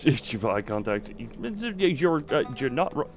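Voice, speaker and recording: Faint music sits behind a man streaming a game. His mid-pitched voice moves around a lot as he thinks aloud, halting and wry, in casual commentary. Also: animated.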